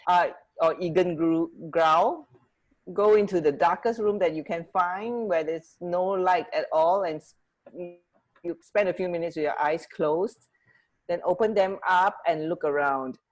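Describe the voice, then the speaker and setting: Low-pitched woman co-hosting a chatty show. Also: measured pace, low-quality recording. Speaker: radio show co-host. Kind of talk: casual chat, sharing trivia.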